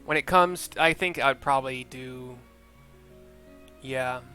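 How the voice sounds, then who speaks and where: A man's voice: medium pitch, slow, bright, forceful tone. A man giving casual commentary on a video game, reading out the story and muttering asides as he goes. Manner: mutters asides and spells out names. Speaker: video game player narrating a playthrough. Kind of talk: casual gameplay commentary with read-aloud narration. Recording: music behind the voice.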